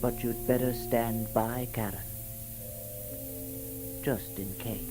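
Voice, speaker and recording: A man's voice: medium pitch, fairly even intonation, slow, close to an everyday voice. A man playing the sardonic, sinister host of a macabre radio gathering, with a knowing, theatrical delivery. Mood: sly, inviting. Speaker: host of a horror story program. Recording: music behind the voice.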